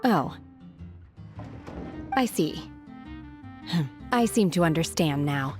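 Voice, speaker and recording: A woman voicing an aloof, earnest young hermit woman in a video game, speaking in a solemn, measured and literal-minded way. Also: deep voice, animated, darkish tone, soft background music. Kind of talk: video game cutscene acting.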